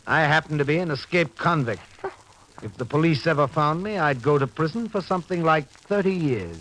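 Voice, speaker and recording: An actor playing a mocking, menacing escaped convict, calm, deliberate and sardonic, in 1940s radio style. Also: male voice, on the high side, rough recording. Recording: old radio recording, faint noise.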